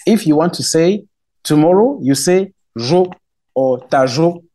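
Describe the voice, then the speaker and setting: A middle-aged man from Cameroon with a Cameroonian accent, speaking English as a second language. He is a festival spokesman in a radio interview, talking haltingly with many fillers and restarts. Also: a little high, animated, dark tone. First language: Romala.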